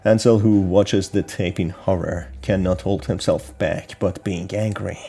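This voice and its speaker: A man's voice: low voice, steady tone, a little slow, gravelly, dark tone. A man reading a scripted explanation of a horror story as narrator. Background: background music.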